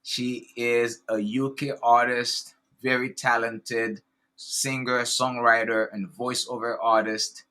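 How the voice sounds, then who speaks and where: A man's voice: mid-range voice, level tone, pushed and bright. Eager Haitian-accented male host. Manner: eager, halting, with many restarts. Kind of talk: casual interview.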